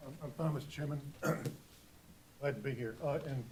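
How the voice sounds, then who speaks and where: A hoarse man getting over a cold, an attorney presenting to a county board in a halting way with many repeats and uhs. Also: mid-range voice, darkish tone. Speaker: attorney for applicant. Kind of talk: formal hearing presentation. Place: county board meeting room.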